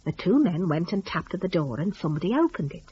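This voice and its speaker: A woman storyteller with a husky voice and very lively pitch. She narrates dramatically in folksy rustic phrasing, as if talking to you. The audio is a little dull and lo-fi. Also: fast talker.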